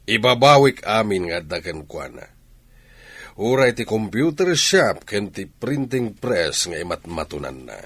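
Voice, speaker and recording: A man playing a stern, controlling father in an Ilocano audio drama, with a husky voice. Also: lowish voice, big pitch swings, measured pace, fairly bright tone, no echo, full character voice. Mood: stern, threatening. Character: stern, controlling rich father threatening disinheritance.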